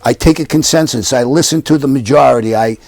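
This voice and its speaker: A man's voice: medium pitch, fast, vocal fry, a little mellow, no room sound. An older man from Yonkers, a council candidate, answering interview questions at home in an earnest, repetitive campaign manner. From Yonkers, New York. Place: living room at home.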